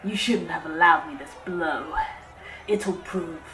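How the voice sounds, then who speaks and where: A woman's voice: low voice, slow pace. A woman playing a fierce, defiant female elf fighter in an audio drama, with an intense, strained delivery. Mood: taunting menace. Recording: echoey, loud music and crowd behind.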